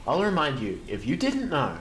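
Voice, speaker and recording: A man's voice: on the high side, huge pitch swings, moderate pace, a bit bright, a little hiss or hum. A man acting as an anxious, defensive corporate city man in an audio drama, officious and pleading by turns.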